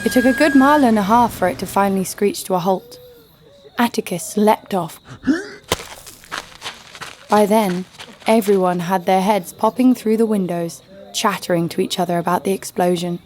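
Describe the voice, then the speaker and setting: A woman's voice: medium pitch, moderate pace, pauses often, background noise, close to an everyday voice. A woman playing a fearless, sardonic bounty hunter who tells her own story with dry, snarky delivery in an audio drama. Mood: wry narrating. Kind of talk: scripted first-person audio drama narration. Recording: music under the voice.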